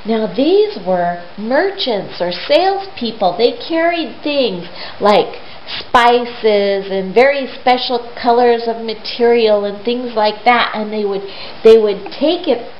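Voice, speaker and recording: A woman tells a children's story in a high, very lively voice. She takes her time and sounds conversational, posing questions as she goes. The sound is roomy. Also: takes it slowly. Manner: animated, conversational, rhetorical questions. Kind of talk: informal retelling of a Bible story for children. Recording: roomy, dull lo-fi, faint hiss.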